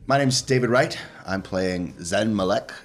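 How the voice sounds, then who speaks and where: A man's voice: lowish voice, unhurried, soft background music. A man talking casually as a player in a tabletop roleplaying podcast. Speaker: player on an actual-play podcast. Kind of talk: casual tabletop game chat.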